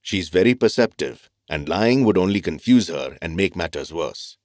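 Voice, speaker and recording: An actor playing a thoughtful, reassuring doctor who explains things calmly in an audio drama. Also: male voice, sits low, dry recording, studio quality. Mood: serious, reassuring. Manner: measured, explanatory, calm. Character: thoughtful, reassuring male doctor and family mentor.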